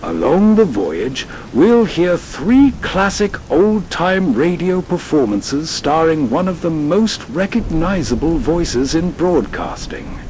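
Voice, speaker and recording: A man hosting with unhurried, scripted narration, introducing old-time radio in a measured storytelling way. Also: big pitch swings, darkish tone, low-quality recording. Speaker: host. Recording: rain and rumble behind voice. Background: storm rain and thunder.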